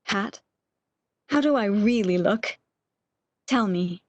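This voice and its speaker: A woman's voice: medium pitch, lively intonation, slow, dry recording. A woman playing a bedridden, lovesick woman in a radio romance, with breathless, emotional, old-fashioned melodramatic delivery. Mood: eager, nostalgic. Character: bedridden lovesick woman, wistful, eager, longing for lost love.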